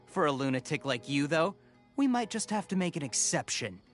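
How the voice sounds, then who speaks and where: Gruff hired thug making threats, a bit high-pitched. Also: male voice, very animated, fairly quick. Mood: threatening. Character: menacing male mercenary thug. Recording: game audio with faint background music.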